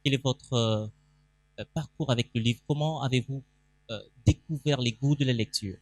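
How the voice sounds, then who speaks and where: Male pastor-moderator, enthusiastic emcee, mid pitch, dry mic. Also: moderate pace, a little mellow, no room sound. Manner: enthusiastic, announces guests, calls for applause. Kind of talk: event hosting. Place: event hall stage.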